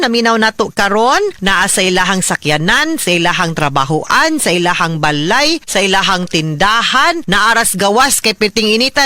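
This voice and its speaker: Bright-voiced Cebuano Filipino woman hosting radio. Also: mid-range voice, no room sound. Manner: animated, encouraging, chatty, frequent tag questions. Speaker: radio advice show host and lawyer. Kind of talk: conversational radio legal advice, addressing listeners.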